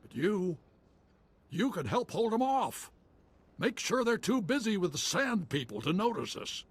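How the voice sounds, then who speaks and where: Wry frontier commander for a game: folksy and colloquial, high husky voice, very lively delivery. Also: male voice, a little slow, heavily acted. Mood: wry, urgent. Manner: folksy, clipped colloquial speech. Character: wry frontier militia commander resisting an empire. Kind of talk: video game voice acting.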